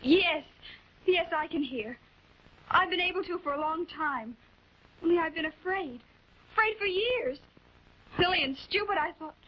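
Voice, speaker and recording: Fearful, timid woman, extremely high plaintive voice, vintage melodrama, dull film soundtrack. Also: moderate pace, long pauses. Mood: fearful, distraught. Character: timid, fearful woman confessing long-kept secret. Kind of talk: 1940s television melodrama acting.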